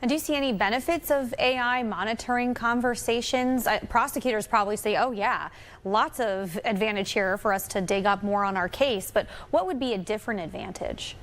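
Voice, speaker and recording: A woman's voice: on the high side, fairly quick. A woman with an American accent, speaking as a news anchor in a broadcast news interview style.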